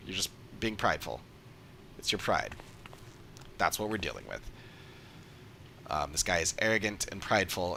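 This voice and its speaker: A man's voice: low voice, sharp tone, slight background noise. A man giving casual, off-the-cuff livestream commentary as a game streamer, emphatic and repeating points for stress.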